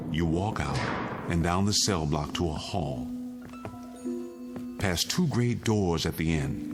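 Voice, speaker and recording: Low, haunted condemned man. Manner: intense, dwelling on each detail. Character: condemned man haunted by a recurring dream, brooding, fatalistic. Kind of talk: mid-century television drama acting. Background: dramatic music.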